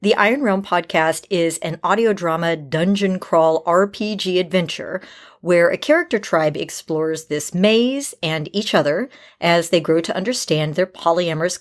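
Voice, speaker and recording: A woman with a fairly low voice hosts a podcast in a conversational style. She is middle-aged and speaks candidly, with an upbeat, informal manner. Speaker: podcast host. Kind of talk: chatty podcast hosting.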